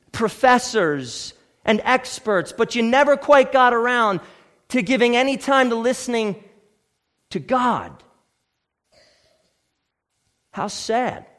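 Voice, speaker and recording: Male preacher, notably high register. Earnest, pleading, oratorical sermon delivery with strong emphasis. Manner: oratorical, dropping from loud declaration to hushed, slow phrases. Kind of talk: Christmas sermon with recited scripture.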